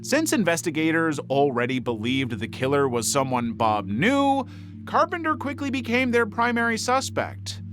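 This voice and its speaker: Lively higher-pitched male true crime narrator. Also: normal speed. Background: background music.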